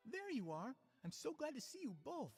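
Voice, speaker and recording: A woman's voice: high voice, sing-song, moderate pace, darkish tone, breathy edge, long reverb tail, faint music behind, full character voice. A woman voicing a dutiful, eager estate guard in a video game. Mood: relieved.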